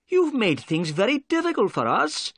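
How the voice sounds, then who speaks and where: A man reading a storybook voices an irritable male police inspector who speaks in a sharp, raised voice. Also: very high-pitched, huge pitch swings, moderate pace, no echo, clean studio sound, pitched above the performer's own voice, full character voice. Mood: angry.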